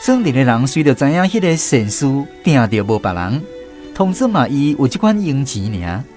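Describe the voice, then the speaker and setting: Male radio drama narrator, Taiwanese accent, scripted storytelling, lively intonation, faint music bed. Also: mid-range voice, normal speed.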